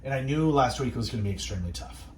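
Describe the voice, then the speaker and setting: A man hosting radio, delivering reflective, encouraging monologues in a measured, earnest way with deliberate pauses. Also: medium pitch, animated, echoey room, far from the mic, a little hiss or hum. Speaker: radio host and station manager.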